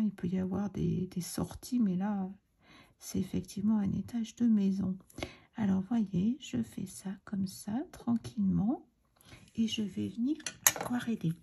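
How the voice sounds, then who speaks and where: A middle-aged woman with a cold, hoarse and breathy, chatting hesitantly and often half-whispering as she explains a crafting tutorial. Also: mid-range voice, warm tone. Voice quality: raspy, breathy, gravelly. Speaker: card-making tutorial host.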